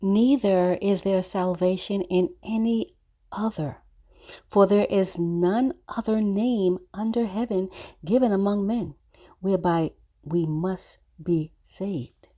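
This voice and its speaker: A woman reading scripture aloud slowly and carefully. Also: medium pitch, mellow. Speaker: scripture reader.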